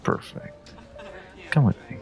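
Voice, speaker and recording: A man playing a suave, teasing stranger who flirts in an intimate audio roleplay, pausing and hesitating playfully as he talks. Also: faint music behind, natural voice. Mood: teasing, charming. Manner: teasing, hesitant pauses, frequent soft chuckles.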